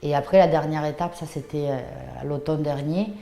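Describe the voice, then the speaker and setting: A woman in her thirties with an Italian accent tells of her craft in an interview. Her voice is low and soft-edged, with a warm, dark tone. She thinks aloud with some hesitation, in a room with noticeable echo. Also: fairly even intonation, brisk. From Puglia, southern Italy. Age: 30s. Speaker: artisan cheesemaker and shop founder.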